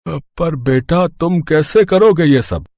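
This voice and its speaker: A man's voice: fairly high pitch, lively intonation, takes it slowly, a little mellow, no room sound, studio quality. A man voicing a worried, kindly older merchant and town elder in a children's cartoon story, explaining earnestly in a fatherly way. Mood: doubtful.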